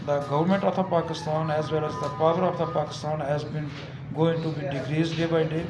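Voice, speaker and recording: An older man, an advocate, answering interview questions haltingly with many fillers and restarts. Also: on the high side, level tone. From Azad Kashmir. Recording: echoey with crowd noise.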